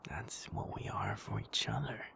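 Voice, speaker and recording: Man playing a tender, whispering gravelly boyfriend. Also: extremely low pitch, sing-song, exaggerated voice. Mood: earnest, loving. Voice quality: breathy, gravelly near-whisper. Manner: gentle, halting, reassuring. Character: tender, protective male werewolf partner comforting a sleepless lover. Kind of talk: intimate ASMR audio roleplay.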